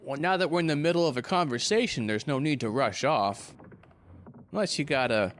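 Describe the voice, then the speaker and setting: A man's voice: a little high, a little fast, close to an everyday voice. A man acting in a conversational stage drama, playing a glib, crude, wounded ex-lover who rambles and needles. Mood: glib, rambling. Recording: faint background noise.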